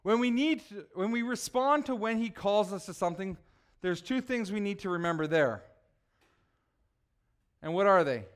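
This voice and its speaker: A man preaching a relaxed, conversational sermon, joking with his congregation and teasing people by name. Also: high-pitched, normal speed, pauses often, clear, resonant tone. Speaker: pastor.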